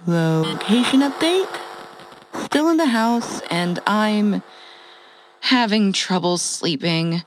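A woman's voice: mid-range voice, lively intonation, takes it slowly, background noise. A woman playing a wry survivor and former radio host in an audio drama, rambling, hesitant and self-deprecating as she talks. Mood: uneasy, confiding. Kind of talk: audio drama, confessional log.

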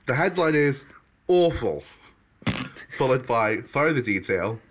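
A young man in his twenties co-hosting a podcast from home, hoarse and gravelly from a bad cough, dry and teasing as he recaps a story. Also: medium pitch, very animated, takes it slowly. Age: 20s. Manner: dry, teasing, laughs often. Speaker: podcast co-host. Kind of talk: casual chat and scripted recap. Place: at home, recording remotely.

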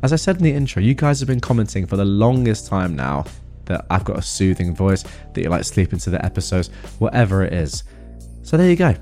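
Guy reading a story aloud, relaxed, voice goes up and down a lot, soft music behind. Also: low-pitched, a little fast. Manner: relaxed first-person storytelling. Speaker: podcast host reading stories. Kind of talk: storytime narration.

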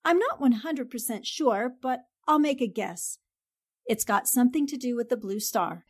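A woman playing a polite, cautious newspaperwoman in a 1940s-style radio drama, measured and reserved. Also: fairly high pitch, animated. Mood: polite, composed.

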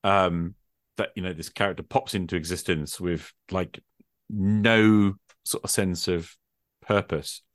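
Very low-voiced man in casual conversation. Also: fairly even intonation, measured pace, no echo, studio quality. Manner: thinks aloud with frequent "like" and "you know". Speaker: podcast host and game master. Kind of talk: casual conversation about tabletop gaming.

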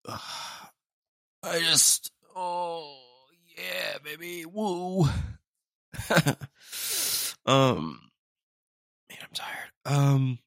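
Sniffly young man rambling, gravelly voice. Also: on the high side, animated, extremely slow, pauses often, a bit bright, no room sound, studio quality. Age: young adult. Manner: rambling, self-deprecating, chatty. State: congested and sniffly. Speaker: podcast host. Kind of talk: casual solo podcast chat.

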